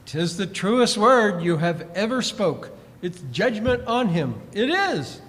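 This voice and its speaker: An actor playing a nosy old rag-and-bone dealer in a wheedling, theatrical 1940s radio drama style. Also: male voice, high voice, very animated, slow pace, a bit bright, heavily acted. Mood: shocked relish. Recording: echoey hall, faint background noise.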